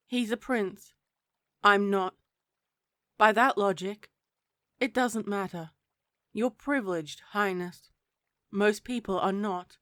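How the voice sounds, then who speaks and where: A woman's voice: mid-range voice, a bit bright, no echo, studio quality. A woman playing a prim, stern, dutiful attendant of a princess in a fantasy audio roleplay, speaking in a formal, proper, clipped way.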